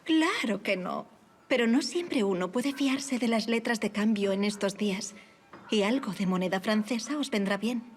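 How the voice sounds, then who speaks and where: A woman's voice: medium pitch, moderate pace, natural voice. A woman acting in an audio drama as a gracious, witty young aristocratic lady, poised and formally polite. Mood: solicitous.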